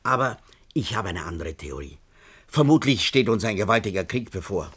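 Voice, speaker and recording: An actor playing a suspicious theorist in a German science-fiction radio drama, arguing and speculating deliberately. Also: male voice, on the low side, very animated, fairly bright tone, breathy edge, exaggerated voice. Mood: speculative, grave. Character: suspicious male theorist of a small conspiratorial circle.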